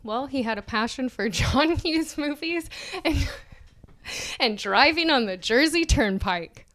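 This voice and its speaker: A woman's voice: high voice, lively intonation, slow, a bit bright. A woman co-hosting a podcast, doing a mock-dramatic reading of an article and laughing through her lines. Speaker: podcast co-host.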